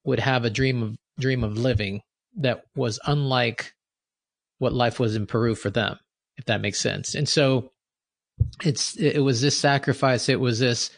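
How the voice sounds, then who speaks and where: A man's voice: mid-range voice, steady tone, a little slow, vocal fry, no echo. A man from New York hosting an interview, speaking in a reflective, personal way. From United States (New York). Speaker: podcast host. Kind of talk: interview conversation.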